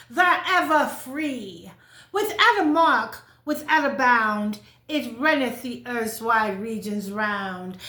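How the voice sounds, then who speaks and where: An American woman in her fifties speaks in a somewhat high voice, recorded in a noticeably echoey space. She shares stories in a candid, conversational way, often repeating a phrase for emphasis. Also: fairly bright tone. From California, United States. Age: 50s. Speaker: vlogger sharing life stories. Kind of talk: casual personal storytelling to camera. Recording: roomy, echoing sound.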